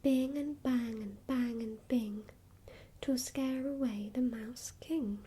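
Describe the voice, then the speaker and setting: A woman whispering the part of an odd old male godfather who chants in a grating, monotonous way, in a storybook reading. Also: a little high, slow pace, slightly rough, heavily acted. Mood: chanting.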